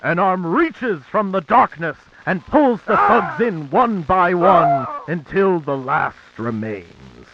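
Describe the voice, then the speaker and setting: An actor playing an earnest, excitable announcer narrating a 1940s radio serial in a declamatory, suspenseful way. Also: male voice, high voice, slow pace, few pauses, crowd noise, exaggerated voice. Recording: dull, noisy old-time radio sound.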